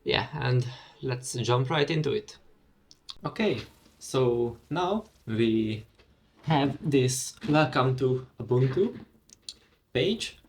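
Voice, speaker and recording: A young man whose first language is Hungarian talks unhurriedly through a software tour, drawn out and relaxed in his pacing. His pitch sits at an ordinary middle level, and he thinks aloud with fillers and little pauses. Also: a little hiss or hum. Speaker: tech vlogger. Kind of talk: casual screen-recorded walkthrough.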